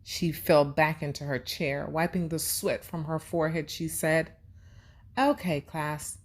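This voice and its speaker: A woman's voice: low-pitched, flat delivery, normal speed. A woman hosting a children's read-aloud and lesson, speaking expressively and addressing young listeners directly.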